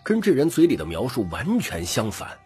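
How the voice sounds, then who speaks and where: A man's voice: mid-range voice, huge pitch swings, moderate pace, a little mellow, soft background music. A man narrating scripted fantasy fiction dramatically as an audiobook reader.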